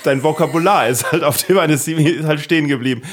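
A man hosting a podcast interview from his small studio, teasing and ironic, often pausing with fillers. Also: fairly high pitch. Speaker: podcast host. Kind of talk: casual comedic interview chat.

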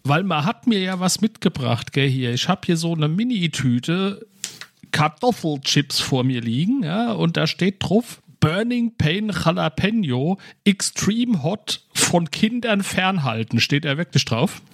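Casting: male co-host, slightly high voice with a husky grain, wry, teasing, everyday banter. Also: big pitch swings, measured pace, no echo, clean studio sound. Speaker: podcast co-host. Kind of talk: casual banter.

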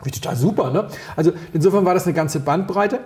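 A man from Berlin chatting casually on a podcast as co-host, rambling through anecdotes with quick asides and self-corrections. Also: high-pitched, a little mellow. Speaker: podcast co-host and wine taster.

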